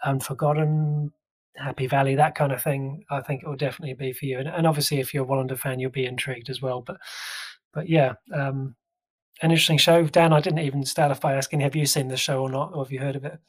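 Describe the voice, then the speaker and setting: A man's voice: mid-range voice, fairly even intonation, quick pace. A man co-hosting a podcast, casually reviewing a TV series, talking loosely with plenty of fillers and restarts. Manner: chatty, full of fillers and restarts. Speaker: podcast co-host.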